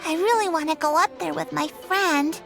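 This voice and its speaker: A woman voicing a small, chatty, floating female companion who talks about herself in the third person, blunt and easily excited. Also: high voice, normal speed, creaky. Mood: excitable. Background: game music.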